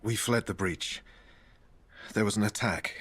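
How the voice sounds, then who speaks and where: An actor playing a hesitant, gentle scientist in a game, with a husky voice and halting, measured delivery. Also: male voice, fairly low pitch, background noise, natural voice. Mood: shaken.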